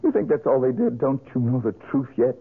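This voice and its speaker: A man's voice: medium pitch, big pitch swings, fairly quick, husky. An actor playing a tormented, volatile young man in a 1970s radio drama, with a bitter and intense delivery. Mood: bitter, haunted. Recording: muffled vintage radio drama recording.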